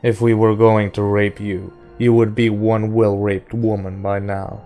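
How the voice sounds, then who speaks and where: A stern gunslinger voiced by a male audiobook reader, quietly menacing and controlled. The voice is low and gravelly, with a soft, even delivery. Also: unhurried, faint music behind. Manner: even, silky, soft, with sudden growls.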